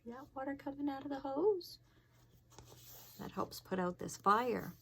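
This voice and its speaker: A woman's voice: high-pitched, expressive, unhurried, creaky, a bit bright, slight background noise. A woman fire inspector reading a storybook aloud to children, expressively, with casual asides and questions to her young listeners.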